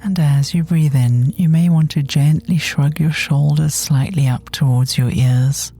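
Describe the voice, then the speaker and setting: A woman leads a guided relaxation in a gentle, mellow voice. She is breathy and often slips toward a whisper. Her delivery is soothing and very unhurried, leaving long pauses between phrases. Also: deep voice, steady tone. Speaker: guided meditation teacher. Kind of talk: guided body scan meditation. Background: soft meditation music.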